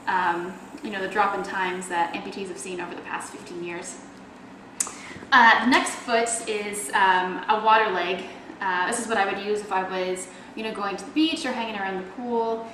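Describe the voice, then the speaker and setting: A woman's voice: mid-range voice, a bit bright, reverberant, a little hiss or hum, low-quality recording. A young woman with a Canadian accent, chatting casually in a video blog and explaining things with plenty of fillers. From Toronto, Canada. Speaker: athlete video blogger.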